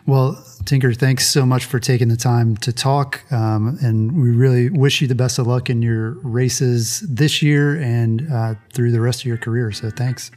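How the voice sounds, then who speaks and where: A man's voice: mid-range voice, fairly even intonation, unhurried, few pauses, a little mellow. A man hosting a podcast, asking short questions and giving brief agreement. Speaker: podcast host, interviewer. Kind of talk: podcast interview.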